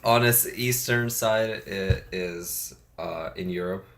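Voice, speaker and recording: Russian accent guy cohosting a chat podcast, lowish voice, kinda halting, lots of uhs. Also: a little hiss or hum. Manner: hesitant, with many filler words and restarts. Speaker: podcast co-host.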